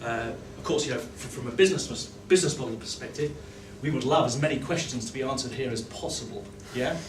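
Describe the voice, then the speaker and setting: A man's voice: mid-range voice, quick pace, noisy background. A man giving a conference talk, often restarting his sentences and adding fillers. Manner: hesitant, many restarts and fillers. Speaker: conference speaker. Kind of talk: presenting a talk. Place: large conference hall. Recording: roomy hall sound from a distance.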